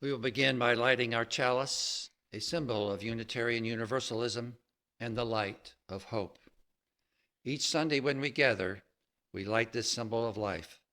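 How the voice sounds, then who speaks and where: A man's voice: mid-range voice, normal speed, sharp tone. A man leading worship, reading formal liturgy to a congregation. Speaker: worship leader.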